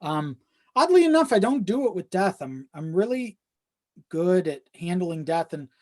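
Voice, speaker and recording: A man's voice: high voice, very animated, normal speed. A man chatting casually as a podcast guest, often checking in with the listener as he goes. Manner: conversational, frequent you-know check-ins.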